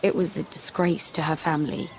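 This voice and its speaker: An actress playing a genteel young handmaiden in a video game, confiding and somewhat hesitant. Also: female voice, sits low, level tone, a little fast, a little mellow, slight background noise. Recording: faint music behind.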